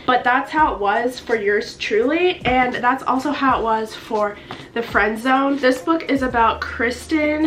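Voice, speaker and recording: Young woman, 19, stuffy nose, gushing book chat, slightly high voice. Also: a bit bright, slight background noise. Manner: bubbly, gushing. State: congested. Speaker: book vlogger. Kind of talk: casual chat to camera.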